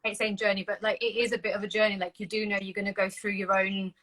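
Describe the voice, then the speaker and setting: A woman from Yorkshire with a mixed Yorkshire and London accent chats as a guest on a livestream. She talks in a friendly, relaxed way, often filling with "like." Her voice is bright, at an ordinary pitch, picked up by a phone or laptop. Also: quick pace, poor audio. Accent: mix of Yorkshire and London. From Yorkshire, England. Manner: friendly and relaxed, says "like" a lot. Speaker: PR business owner, guest. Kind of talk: casual video chat.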